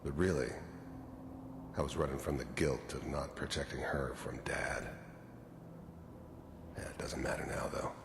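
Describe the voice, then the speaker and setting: A man playing a world-weary, gruff middle-aged mercenary in a video game, slowly and broodingly looking back on his boyhood in a gravelly voice. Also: fairly even intonation, heavily acted. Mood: bitter regret. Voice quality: low, gravelly. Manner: slow, brooding, confessional. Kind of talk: video game voice-over monologue. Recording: reverberant game audio over noisy ambience.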